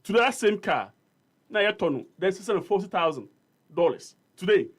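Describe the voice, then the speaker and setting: A man's voice: high voice, big pitch swings, normal speed, husky. A Ghanaian man debating prices and government policy on a radio panel, insistent and argumentative, mixing English with Twi. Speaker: panel guest. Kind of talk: heated political debate. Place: radio studio.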